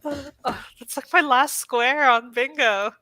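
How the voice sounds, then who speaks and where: Bubbly woman, bright and dry. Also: high voice, unhurried, no room sound. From Minnesota. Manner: lots of "like", excitable, laughs easily. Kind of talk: casual chat.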